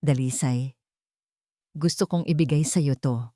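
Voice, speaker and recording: A woman's voice: low voice, a little slow, warm tone, no echo, clean studio sound. Woman acting fierce, protective rich lady, emphatic. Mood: apologetic, earnest.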